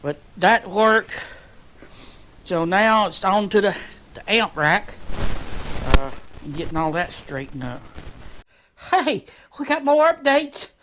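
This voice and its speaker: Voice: medium pitch, lively intonation, takes it slowly, long pauses, fairly bright tone, slight background noise, rough recording. Rambling, folksy DIY vlogger at work. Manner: jokey, rambling, folksy colloquial grammar. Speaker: vlogger working on a motorcycle. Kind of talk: casual rambling DIY update vlog. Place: shed workshop.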